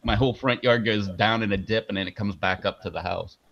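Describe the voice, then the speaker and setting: A man's voice: lowish voice, brisk, creaky, muffled recording, a little hiss or hum. A man co-hosting a casual live stream who talks in a chatty way, laughs often and promotes the channel.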